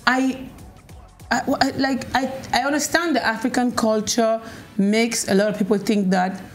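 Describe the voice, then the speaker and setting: A woman's voice: fairly high pitch, fairly even intonation, moderate pace, soft background music. A woman from Norway, a talk-show guest, speaking in an emphatic, self-assured way and often repeating words as she starts. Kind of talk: candid talk-show chat.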